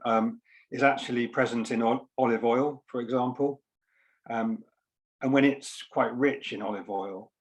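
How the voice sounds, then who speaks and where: A man speaking as a poet at an online book launch, in short, measured phrases with frequent pauses. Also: mid-range voice. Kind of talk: informal explanation and poetry reading. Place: online event, on a video call.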